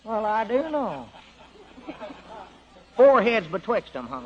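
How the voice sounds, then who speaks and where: An actor playing a simple-minded, talkative rural old-timer with a rural Southern accent in a folksy, rambling 1940s radio comedy style. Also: male voice, high-pitched, big pitch swings, slow, heavily acted. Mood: befuddled. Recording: dull 1940s radio broadcast recording.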